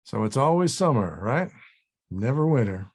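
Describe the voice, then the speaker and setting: Man playing husky, animated, awkward guy. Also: medium pitch, normal speed. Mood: puzzled. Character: anxious, awkward adult male actor, defensive and sheepish. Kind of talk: comedy script table read.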